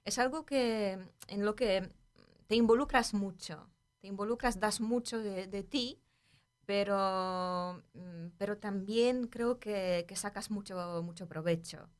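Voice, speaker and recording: A woman's voice: mid-range voice, takes it slowly. Thoughtful woman, Polish native speaker. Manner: thoughtful, hesitant, many restarts. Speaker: literary translator, guest. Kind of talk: casual podcast conversation.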